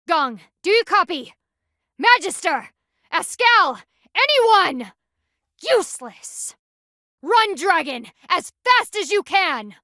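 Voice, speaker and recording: A woman's voice: extremely high pitch, big pitch swings, slow pace, pushed and bright, dry recording, clean studio sound, exaggerated voice. A woman voicing a stern, proud panda warrior in a video game, with a formal, commanding, clipped manner. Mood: urgent, outraged. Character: stern, proud anthropomorphic panda woman, loyal military guardian.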